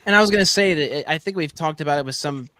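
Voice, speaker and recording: A man's voice: fairly high pitch, racing, bright, forceful tone, no room sound. A man co-hosting a casual chat over a video call, enthusiastic and full of fillers. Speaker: podcast co-host.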